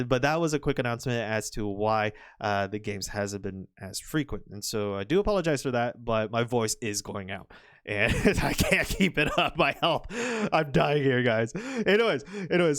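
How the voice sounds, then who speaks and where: A young man commenting on a Go match, chatty and rambling, his voice a little hoarse from overuse. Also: mid-range voice, no echo, studio quality. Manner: chatty, rambling, frequent restarts and fillers. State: slightly hoarse, recovering voice. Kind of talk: casual game commentary.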